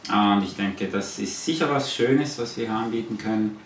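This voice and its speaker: A man from Switzerland with a Swiss accent, answering informal interview questions in a halting way, searching for words. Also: on the low side. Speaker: interviewee, eco-farm owner. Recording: echoey, distant, noisy ambient recording.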